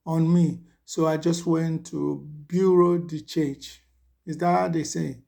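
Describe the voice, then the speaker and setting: A man's voice: fairly high pitch, creaky, darkish tone. A middle-aged man from Nigeria with a Nigerian accent, chatting casually to his viewers as he tells a story and often checks in with "you understand?". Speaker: vlogger.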